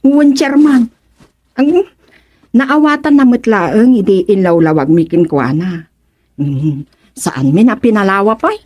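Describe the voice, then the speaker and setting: A woman's voice: mid-range voice, lively intonation, a little slow, pauses often, warm tone, dull audio. A woman with an Ilocano accent playing an eager village councilor in a radio comedy-drama, speaking in a keen, lilting, deferential way. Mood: explaining. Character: eager female village councilor, proud of her gardens.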